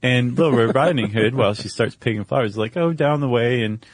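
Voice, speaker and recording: A man's voice: medium pitch, a little fast, no room sound. A man co-hosting a podcast, telling stories in a casual, wry, joking way. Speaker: podcast co-host. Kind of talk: casual conversational storytelling.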